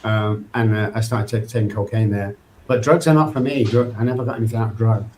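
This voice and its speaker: A man's voice: on the low side, fairly even intonation, fast talker, darkish tone. A middle-aged man from England with a Manchester accent, a podcast guest telling his own story in an earnest, loose, rambling way. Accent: Mancunian English. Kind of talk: candid personal recovery story. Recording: faint background noise.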